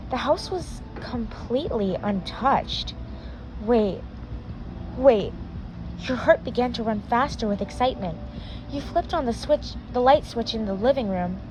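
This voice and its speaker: A woman's voice: a little high, noisy background. A woman reading a fan fiction story aloud, sometimes stumbling and correcting herself. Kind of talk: scripted story narration.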